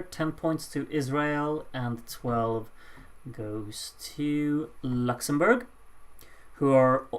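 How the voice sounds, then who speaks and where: A mid-pitched voice hosts a live voting show in a casual way. The results are announced with stretched-out suspense, broken up by dry, offhand remarks to the viewers. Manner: dry, offhand asides to chat between announcements. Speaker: host announcing song contest voting results. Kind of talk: casual live commentary on a points vote.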